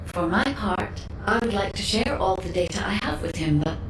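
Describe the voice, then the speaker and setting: A woman voicing a calm female protector AI program that speaks in a gentle, measured, reassuring way. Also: fairly low pitch, creaky. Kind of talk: video game cutscene voice acting. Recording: echoey, distant, noisy backdrop.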